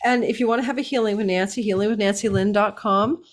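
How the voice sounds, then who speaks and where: A hoarse woman host giving a casual, digressive horoscope reading, recorded indoors at a relative's house and laughing at herself now and then. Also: mid-range voice, fairly even intonation, quick pace. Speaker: astrologer host.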